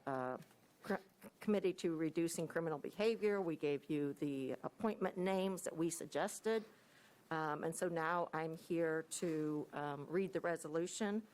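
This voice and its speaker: A soft-spoken American Midwestern woman, a county director presenting at a commission meeting on a desk microphone, hesitant and full of fillers. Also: low-pitched.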